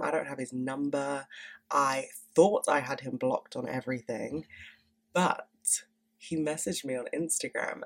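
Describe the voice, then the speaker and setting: A young woman in her twenties hosting a podcast in casual, candid chat, swearing freely and sounding stuffy from a cold. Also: rumbling low. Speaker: podcast host.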